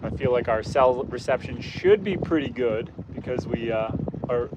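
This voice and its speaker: A man with a hoarse, fading voice, chatting easily with livestream viewers while he fishes from a boat on a rainy lake. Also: medium pitch, moderate pace, lo-fi sound. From Canada. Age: adult, father of young kids. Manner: easygoing, chatty, greets viewers by name. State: hoarse, losing his voice. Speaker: outdoors channel host. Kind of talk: casual livestream chat while fishing. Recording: windy outdoor stream with boat noise. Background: wind and boat motor.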